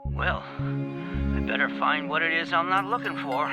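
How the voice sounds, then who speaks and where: A woman's voice: sits low, a little slow, loud music behind the voice. A woman playing a curious, incredulous questioner in an audio drama, informal and inquisitive. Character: curious, incredulous questioner on a mock advice segment.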